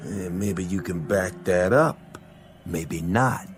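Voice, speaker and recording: A man's voice: sits low, slow, over music. An actor playing a sleazy, mocking fight manager in a radio drama, needling as he talks. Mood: smug. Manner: sneering, needling.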